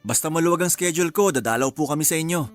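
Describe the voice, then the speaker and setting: A man's voice: on the high side, rapid-fire, close to an everyday voice. An actor playing a mild, reassuring young husband and father in a radio drama. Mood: easygoing. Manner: mild, reassuring, calls wife "mahal". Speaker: husband character. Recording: faint music bed behind.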